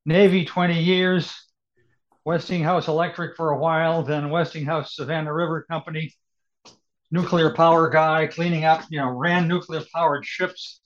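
A man's voice: on the high side, flat delivery, normal speed. An older man casually introducing himself in clipped, list-like phrases over muffled call audio. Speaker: group participant, retired engineer. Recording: dull call audio with faint noise.

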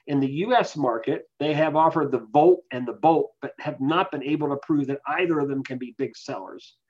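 A man speaking as an expert guest, giving explanatory interview answers in a measured, analytical way. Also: fairly high pitch, a little mellow. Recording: dull, lo-fi voice capture.

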